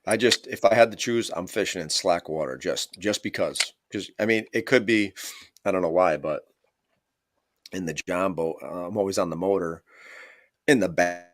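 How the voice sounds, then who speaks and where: A man's voice: deep voice, moderate pace, no room sound. A man in his forties hosting a fishing league stream, chatting casually and rambling with friends. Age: 40s. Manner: chatty, rambling, laughs easily. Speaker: league show host. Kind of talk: casual live-stream chat.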